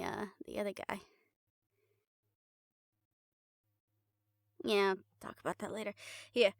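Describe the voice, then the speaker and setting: A woman playing a caring, blunt, teasing young girlfriend in an improvised audio roleplay, chatting casually and haltingly to the listener. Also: mid-range voice, gravelly, a bit bright, no room sound, studio quality. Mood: teasing, affectionate. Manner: casual, halting, playful swearing, affectionate teasing.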